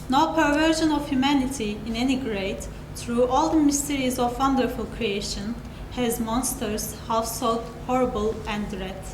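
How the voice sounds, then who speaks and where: A young woman narrating a classic story in a dramatic literary reading. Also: high-pitched. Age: young adult. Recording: echoey room, clear background noise.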